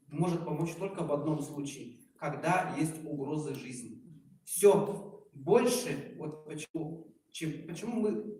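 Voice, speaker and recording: A man's voice: fairly high pitch, darkish tone. Man in his forties, very echoey room. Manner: halting, emphatic, self-ironic. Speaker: doctor speaking at a promotional presentation. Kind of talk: informal public testimonial talk. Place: echoey indoor presentation room. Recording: very echoey room, far microphone, noisy.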